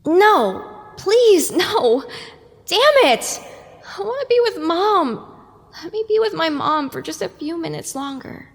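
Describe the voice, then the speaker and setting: A woman's voice: extremely high pitch, sing-song, slow pace, full character voice. A woman playing an anxious, vulnerable young pony mare in an audio drama, plaintive and questioning. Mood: pleading. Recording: long hall-like reverb.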